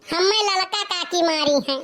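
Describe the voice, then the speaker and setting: Super high squeaky cartoon village boy, awadhi accent, cheeky n whiny, always arguing back. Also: measured pace, sharp tone, heavily acted. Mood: whiny protesting. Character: cheeky, argumentative village lad, defiant and mischievous. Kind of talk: comic cartoon dialogue.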